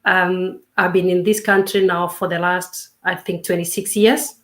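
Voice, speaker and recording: A woman in her fifties, a coach, speaking as an interview guest in a measured, reflective way with frequent pauses and restarts. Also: on the low side, little pitch movement, fairly bright tone. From Kenya. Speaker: guest, self-discovery coach. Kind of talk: casual interview chat.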